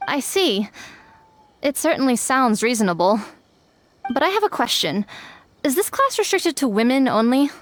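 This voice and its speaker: A woman's voice: high-pitched, sing-song, normal speed, pauses often. A woman voicing a frank, put-upon but polite housewife in a video game. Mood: politely curious. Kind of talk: scripted video game dialogue.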